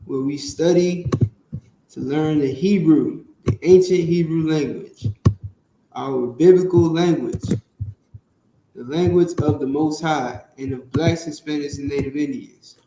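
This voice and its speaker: A man with a fairly high voice teaching slowly, pausing often to check that his students follow along. He sounds off from the mic in a very echoey room. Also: far from the mic, background noise. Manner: slow, repetitive, checks in with "all right" and "you understand". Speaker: Hebrew class teacher. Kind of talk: classroom teaching over a chart.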